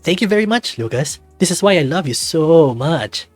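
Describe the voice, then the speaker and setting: A man's voice: a little high, animated, normal speed, dry recording, faint music behind, pitched above the performer's own voice. A man voicing a playful, affectionate and caring male wolf in a visual novel, with teasing, earnest delivery. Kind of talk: visual novel character acting.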